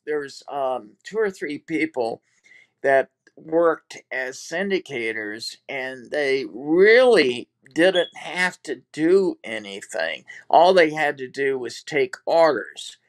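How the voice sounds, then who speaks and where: An older man with a Texas accent, a former TV producer, recalling his career in an interview with many fillers and restarts. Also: a little high. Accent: Texan. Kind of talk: reflective interview talk.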